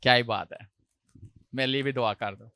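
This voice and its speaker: Bright-voiced preacher. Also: male voice, mid-range voice, moderate pace, no room sound, studio quality. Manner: preaching with loud rises on hallelujah and jokey asides. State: sore throat, clearing his throat. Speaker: pastor. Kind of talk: sermon.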